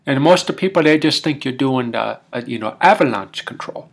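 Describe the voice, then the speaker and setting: A man playing a folksy, rambling Minnesotan husband with a Scandinavian-tinged Minnesota accent, telling comic tall tales in halting, homespun grammar. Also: mid-range voice, animated, gravelly. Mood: bewildered. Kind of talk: comic tall-tale storytelling.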